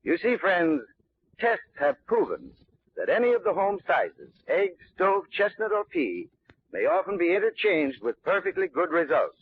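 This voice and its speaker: Husky male 1940s radio pitchman, tinny sound. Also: huge pitch swings, normal speed. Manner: folksy, instructive. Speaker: advertising spokesman, heating expert. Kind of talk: 1940s radio commercial, scripted address.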